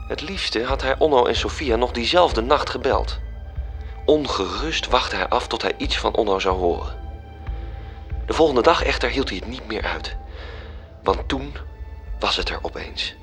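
Radio play narrator; gravelly low voice, measured. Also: male voice, lively intonation, a bit bright, faint music behind. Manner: measured storytelling.

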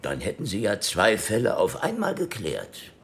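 Very deep, gravelly police chief. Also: male voice, wildly swooping pitch, moderate pace, fairly bright tone, a little hiss or hum, heavily acted. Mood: sceptical. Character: gruff, sceptical senior male police superior. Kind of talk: radio drama acting.

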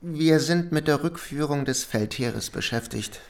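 An actor playing a courteous, guarded German army staff officer in a radio drama, speaking formally and diplomatically. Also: male voice, on the high side, measured pace.

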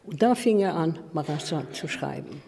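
Older swedish-accented lady telling memories, really low mellow voice, echoey hall. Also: animated, moderate pace, gravelly. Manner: non-native grammar, emphatic storytelling. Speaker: interviewee, former stage designer. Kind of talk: personal reminiscence in an interview.

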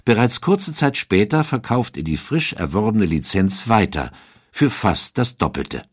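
Low, husky male documentary narrator. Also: sing-song, unhurried, dry recording, clean studio sound. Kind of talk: scripted documentary audiobook narration.

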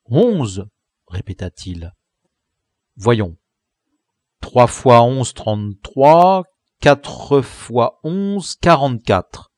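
An anxious young boy, as a male narrator puts him on, counts out shares slowly and then bursts into dismayed oaths. His voice leaps between low mutters and loud cries, recorded dry and close. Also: big pitch swings, breathy edge, no room sound, exaggerated voice.

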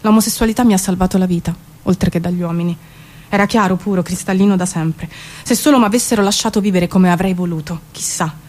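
A woman giving an expressive, measured theatrical spoken-word reading with dramatic pauses. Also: on the low side. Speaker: performer reading her own poetic prose. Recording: music behind the voice. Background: music.

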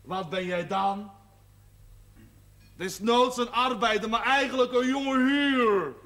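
A blunt working man in a period television drama. His voice sits very high for a man. He talks in a needling, scornful and combative way. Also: slow pace, a bit bright, a little hiss or hum, exaggerated voice. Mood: sardonic.

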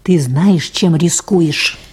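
A woman's voice: sits low, takes it slowly, darkish tone. An actress playing a motherly middle-aged boat mechanic in a radio play, worried and grumbling in a folksy way. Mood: anxious, scolding. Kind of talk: 1980s Soviet radio play acting.

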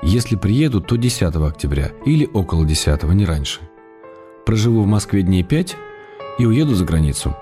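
Wry, warm-toned affectionate playwright. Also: male voice, sits low, sing-song, faint music behind. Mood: matter-of-fact, fond. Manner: wry, measured, gently affectionate. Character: affectionate, wry male playwright writing to his sweetheart. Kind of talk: radio drama letter reading.

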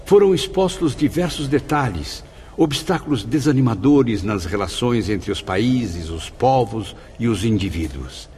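A man's voice: mid-range voice, animated, unhurried, a little mellow, slight background noise, natural voice. An actor playing an earnest male spirit with a Brazilian accent, recounting his afterlife studies in a formal, reflective radio drama style. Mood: awed, reflective. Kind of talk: radio drama narration in character.

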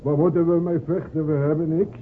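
Actor as timid, high-voiced villager man, tinny recording. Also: little pitch movement, fairly quick, natural voice. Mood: anxious. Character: timid, faltering villager man, fearful and whining. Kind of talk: radio play acting. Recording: tinny noisy old radio play recording.

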